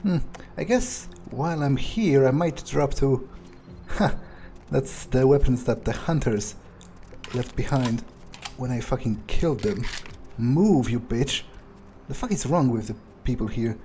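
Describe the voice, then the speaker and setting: A man playing a jaded, impatient vampire in a video game, thinking aloud in a halting, profane, sardonic monologue. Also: mid-range voice, lively intonation, mellow, slight background noise. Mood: irritated, musing. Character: jaded, foul-mouthed male vampire, contemptuous and impatient.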